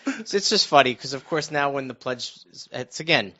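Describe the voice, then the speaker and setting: A middle-aged American man telling stories casually on a talk show, halting and restarting his phrases as he goes. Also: mid-range voice, expressive, sharp tone. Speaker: radio show panelist recounting his own news. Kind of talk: casual conversational storytelling. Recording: dull, lo-fi muffled sound.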